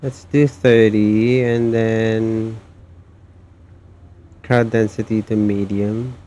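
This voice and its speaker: A man's voice: lowish voice, flat delivery, drawn-out words, dark tone, slight background noise. A man hosting a casual gaming live stream, talking hesitantly and muttering to himself as he plays. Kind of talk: casual live stream chat while playing a game.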